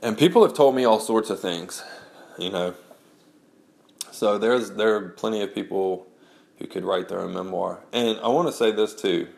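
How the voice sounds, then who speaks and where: A man's voice: on the low side, moderate pace, creaky, a little mellow, echoey room. A man recording a casual audio blog about memoir writing, talking through a congested head cold and rambling with many restarts. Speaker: blogger and memoir writer.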